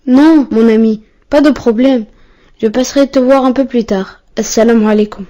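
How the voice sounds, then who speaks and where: Woman voicing an earnest boy, soft high voice. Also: normal speed, dull audio, natural voice. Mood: polite, caring. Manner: earnest, courteous. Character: earnest, polite, sensitive pious boy. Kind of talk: didactic cartoon dialogue.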